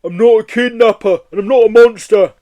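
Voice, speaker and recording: Wailing yeti, huge but cowardly, pitched-up panicky cries, loud and drawn out as a man puts it on; storybook read-aloud. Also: fairly even intonation, measured pace, no echo. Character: huge timid male yeti, panicky and whiny.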